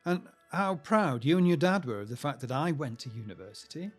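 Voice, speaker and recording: A man's voice: a little high, very animated, brisk, faint music behind. An actor playing a cheerful, talkative middle-aged British husband and proud grandfather in a naturalistic audio drama, reflective and sentimental as he chats. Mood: warm, reflective. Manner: chatty, reflective speech-making.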